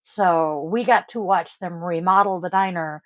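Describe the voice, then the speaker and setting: A woman's voice: on the low side, a little slow, no echo. A middle-aged woman from Washington State hosting a casual tarot livestream, tired and congested, rambling and trailing off with sighs. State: congested, sniffly. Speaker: tarot reader and livestream host.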